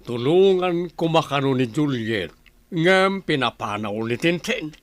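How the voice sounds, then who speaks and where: An actor playing a questioning, exasperated village husband trying to sort out a quarrel in a radio drama. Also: male voice, fairly high pitch, lively intonation, unhurried, dry recording. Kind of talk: radio drama acting in Ilocano.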